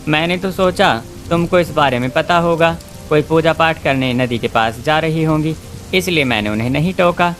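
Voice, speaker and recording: A man's voice: on the high side, normal speed, a bit bright, soft background music, natural voice. A man voicing a helpful, earnest villager in a cartoon story. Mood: earnest, informing. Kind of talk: Hindi cartoon story voice acting.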